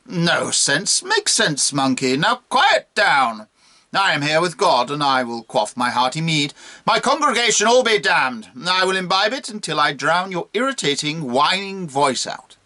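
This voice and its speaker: A blustering, drunken old bishop, acted out by a man, grand and threatening. The voice is forceful and swings widely in pitch, declaiming like a preacher in a dark comic poem. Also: very animated, a little slow, full character voice. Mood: blustering, defiant. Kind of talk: dramatic poetry reading.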